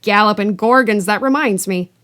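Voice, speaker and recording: A huge, rough-mannered giant of a man who bursts out loudly when he is angry and drops low when he is anxious, as a woman puts him on while reading. He talks in a blunt, homely way. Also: moderate pace, lightly acted. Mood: gruff. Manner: blustering, loud outbursts. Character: big rough-voiced half-giant man, gruff and warm.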